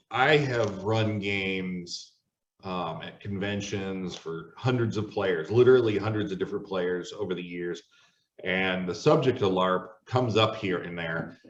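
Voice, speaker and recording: Lower-voiced male host in an echoey room. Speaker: host, moderator. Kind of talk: casual moderated discussion. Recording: echoey room, distant mic, muffled.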